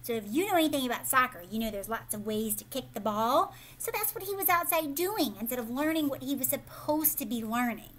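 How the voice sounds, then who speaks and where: An American woman in her thirties reads a picture book aloud to children expressively, pausing to ask the listener questions. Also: sits high, a little hiss or hum. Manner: animated, prompting listeners with questions. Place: living room at home, indoors.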